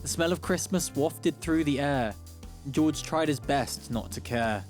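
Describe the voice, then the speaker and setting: High-pitched male storybook narrator over music. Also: measured pace, darkish tone. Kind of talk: rhyming storybook radio narration.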